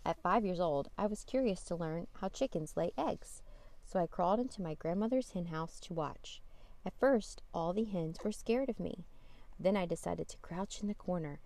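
A woman reading a children's picture book aloud as a storytime host, with expressive emphasis on key words. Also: lowish voice, moderate pace. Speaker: storytime podcast host and reader.

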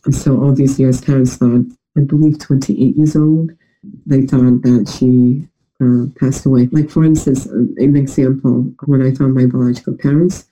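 Mellow, nasal man with New York-like accent. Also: mid-range voice, flat delivery, moderate pace, poor audio. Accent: New York-like American. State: congested from a recent illness. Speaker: interview guest. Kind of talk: personal storytelling in an interview.

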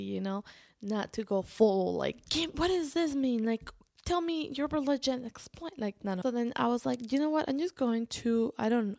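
Creaky-voiced woman chatting casually. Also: a little high, dark tone, dry recording, clean studio sound. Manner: informal, halting, restarts sentences and quotes herself often. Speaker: vlogger. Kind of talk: casual personal storytelling to camera.

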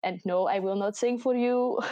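A woman's voice: fairly high pitch, unhurried, dark tone, no echo, lo-fi sound. A woman in her twenties from the Netherlands with a Dutch accent, co-hosting a casual podcast chat and laughing often. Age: 20s. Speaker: podcast co-host. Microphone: voice chat recording.

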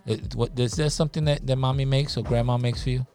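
A man's voice: mid-range voice, fairly even intonation, fast, music in the background. A middle-aged man chatting casually with a group of children, easygoing, repeating himself and restarting his sentences. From United States. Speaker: staff member talking with children. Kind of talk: casual chat with kids.

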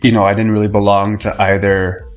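Low-voiced Canadian man chatting loosely. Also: flat delivery, brisk, faint music behind. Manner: rambling, many fillers and restarts. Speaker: podcast guest. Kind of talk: casual interview talk.

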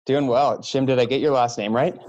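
A man's voice: medium pitch, fairly even intonation, brisk, a little mellow, highs cut off. A young man hosting a sports podcast, introducing guests in an upbeat way. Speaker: podcast host.

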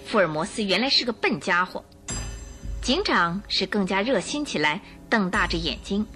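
Animated woman narrating, music behind. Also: mid-range voice, moderate pace. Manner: expressive storytelling. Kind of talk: scripted radio drama narration.

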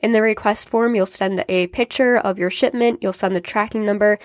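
A young woman hosts her own podcast, talking straight to her listeners in an upbeat, explanatory way. A sinus infection leaves her sounding a little nasal and congested. Her pitch sits in an ordinary middle range, and the recording is dry with no room echo. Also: steady tone, moderate pace. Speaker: podcast host and diabetes coach. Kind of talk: solo podcast talk.

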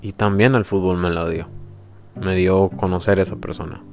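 Deep-voiced young man, reflective. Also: steady tone. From El Salvador. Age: 20s. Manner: reflective, hesitant, with pauses and restarts. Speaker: podcast host. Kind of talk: personal introduction monologue. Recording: faint guitar music behind.

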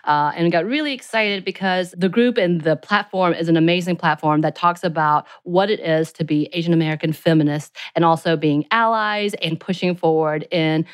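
A woman co-hosting a podcast, talking in an excited, rambling way with some mumbling as she interviews guests. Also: lowish voice, moderate pace, few pauses, clean tone, dry recording. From Asia, raised in the U.S. from age seven. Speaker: podcast co-host. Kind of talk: casual interview chat.

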